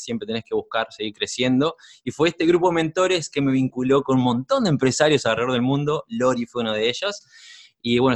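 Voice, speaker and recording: A man hosts an interview. He asks long, winding questions, often breaking off and starting a phrase again. His voice has an ordinary male pitch. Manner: rambling, restarts questions, voseo. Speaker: host.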